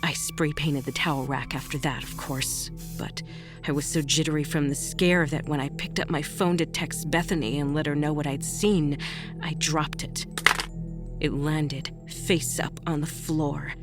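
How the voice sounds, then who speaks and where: A woman's voice: low-pitched, steady tone, unhurried, over music. A woman narrating a first-person horror audio drama as a frightened, jittery survivor. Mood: haunted.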